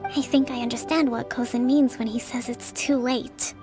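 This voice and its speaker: An actress playing a gentle, composed young female leader in a video game, with measured, formal, articulate speech. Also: a little high, steady tone, lightly acted. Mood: calm, self-blaming. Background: faint game background music.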